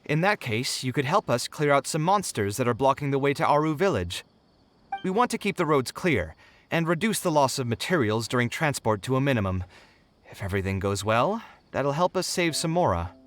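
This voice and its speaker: A man's voice: medium pitch, big pitch swings, fairly quick, a bit bright. A man playing an idealistic young architect, earnest and fussy, who explains his design ideas at length. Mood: practical. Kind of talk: game voice acting.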